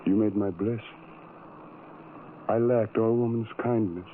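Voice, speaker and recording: An actor playing a sorrowful, self-doubting outcast in an old-time radio drama, halting and brooding with heavy pauses. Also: male voice, fairly low pitch, fairly even intonation, lightly acted. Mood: mournful, longing. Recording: tinny, noisy old radio recording.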